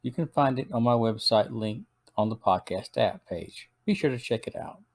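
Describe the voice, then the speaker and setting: A man's voice: medium pitch, moderate pace. Man reading a podcast review. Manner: steady, even read-aloud delivery. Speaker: podcast host. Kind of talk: scripted episode review.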